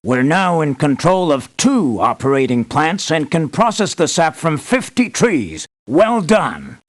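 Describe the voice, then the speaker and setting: An actor playing an earnest, measured male leader giving formal, deliberate briefings in a scripted video game. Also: on the high side, very animated. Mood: measured, approving. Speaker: mission briefer.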